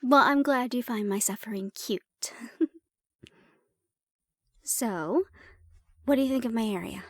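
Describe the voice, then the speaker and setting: A woman playing an affectionate, teasing human partner of a dragon in an intimate roleplay audio drama, speaking softly and unhurriedly with pauses. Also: fairly high pitch, dry recording, studio quality. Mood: teasing, warm.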